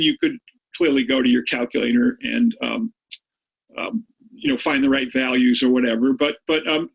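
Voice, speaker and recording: Gravelly Boston-accented male teacher. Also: muffled recording. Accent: Boston with a Maine tinge. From Boston area, United States. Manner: chatty, repeats words often, animated pitch. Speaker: high school statistics teacher. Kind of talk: online review lesson.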